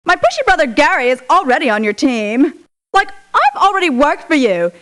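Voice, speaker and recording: A woman's voice: high-pitched, big pitch swings, fairly quick, fairly bright tone, rough recording. An actress playing a young, ditzy, squeamish valley girl mercenary in a video game, with an American Valley girl accent and a whiny manner. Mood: dismissive, squeamish. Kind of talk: theatrical video game voice clips.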